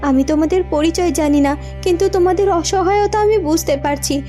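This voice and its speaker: A woman's voice: very high voice, a little fast, dark tone. A young cartoon princess acted by a woman addresses her subjects in a solemn, declamatory way. She is compassionate yet stern, with light music behind her. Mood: solemn, caring. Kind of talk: children's fairytale cartoon acting.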